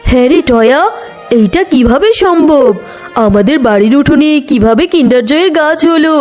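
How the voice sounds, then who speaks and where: A woman's voice: high voice, lively intonation, moderate pace, a little mellow. A woman voicing a harsh, greedy tigress aunt with an accusing, nagging manner in a children's cartoon. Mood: astonished. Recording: faint music behind the voice.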